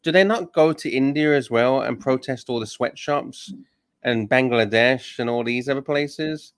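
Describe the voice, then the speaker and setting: A man hosting a livestream, reading super chats aloud and chatting casually, animated and swearing. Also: mid-range voice, normal speed, clear, resonant tone, no room sound, clean studio sound. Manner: animated, swears, mocks and laughs. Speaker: livestream host.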